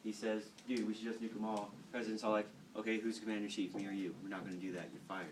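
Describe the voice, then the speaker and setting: A man's voice: fairly low pitch, level tone, fast talker. A young American man casually telling history in a slangy, irreverent, joking way to classmates. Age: teens to young adult. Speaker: student cadet telling history stories. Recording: noisy room, distant microphone.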